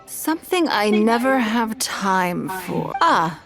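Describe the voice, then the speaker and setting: A woman's voice: medium pitch, sing-song, slow pace, a bit bright, soft background music, full character voice. An actress playing a poised, shrewd, wealthy businesswoman in a video game, speaking formally and articulately. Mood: thoughtful, composed.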